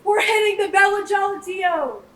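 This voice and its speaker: A woman's voice: extremely high pitch, normal speed, a bit bright. A young woman playing a dry, cajoling heist crew leader in a student stage comedy. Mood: persuasive. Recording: echoey stage, distant mic, faint noise.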